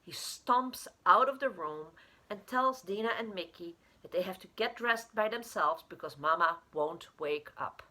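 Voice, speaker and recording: Husky woman reading a story. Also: on the low side, animated, measured pace, sharp tone. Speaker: author reading own short story.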